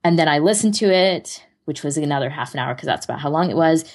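A woman with a low-pitched voice talks casually as a podcast co-host. She rambles along conversationally and pokes fun at herself as she goes. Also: expressive, fast. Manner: rambling, self-deprecating.

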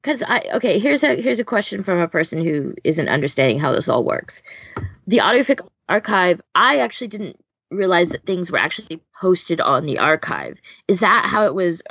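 A woman's voice: lowish voice, fairly bright tone, husky, no echo. A woman chatting casually as a podcast host, candid and self-deprecating, on a slightly muffled recording. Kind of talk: casual group chat.

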